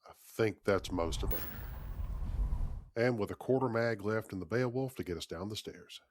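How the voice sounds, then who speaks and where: A man narrating gameplay in character as a captain, in a dry, wry, deliberate way. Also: on the low side. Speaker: gaming video creator playing a captain. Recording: clear background noise, game ambience.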